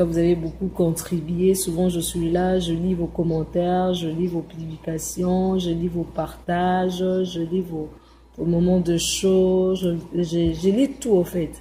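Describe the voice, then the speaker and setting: A woman speaks slowly in a mellow, low voice on a phone voice note. She is soft and affectionate and says the same words again and again. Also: slight background noise. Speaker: group member. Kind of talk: casual voice message.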